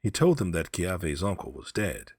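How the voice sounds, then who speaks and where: A man's voice: deep voice, sing-song, moderate pace, darkish tone, breathy edge, dry recording, clean studio sound. A man reading a story aloud as scripted storybook narration. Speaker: audiobook narrator, story reader.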